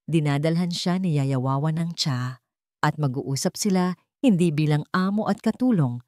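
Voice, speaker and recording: A woman narrating a drama story in a measured, expressive storytelling manner. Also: deep voice, no echo, clean studio sound.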